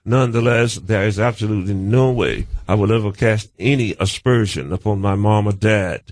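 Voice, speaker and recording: A man in an unscripted conversation, halting and repeating himself emphatically, mixing florid formal phrases with slang. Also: lowish voice, a little mellow, dry recording. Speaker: guest being counselled.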